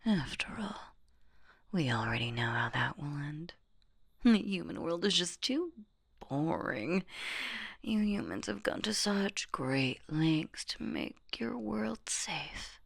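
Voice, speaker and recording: Woman voicing a slow, breathy, creaky monster seductress of horror. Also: big pitch swings, exaggerated voice. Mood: musing, sly. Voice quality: breathy, husky whisper with creaky low notes. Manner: slow, teasing, menacing, mock-sweet. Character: sadistic, playful female boogeywoman monster hunting humans. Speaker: audio roleplay voice actor. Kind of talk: whispered horror audio roleplay monologue.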